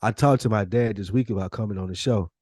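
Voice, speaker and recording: American male podcast co-host, lower register, dry sound, informal slang-heavy conversational storyteller who swears freely. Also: fast talker, a little mellow, slightly rough, no room sound. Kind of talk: casual chat with animated storytelling.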